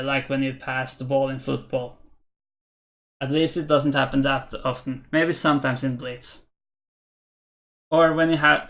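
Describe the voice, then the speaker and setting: Flat-voiced young Norwegian man streaming chess. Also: mid-range voice. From Norway. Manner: hesitant, thinking aloud while reading chat. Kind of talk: casual live-stream commentary.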